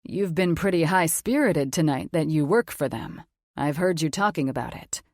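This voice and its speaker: A woman voicing a mature, self-assured man who plays along as a fake fiancé, smooth and teasing. It is read as a storybook figure. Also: low voice, expressive, normal speed, darkish tone, no room sound, clean studio sound, pitched below the performer's own voice. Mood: smug, assured.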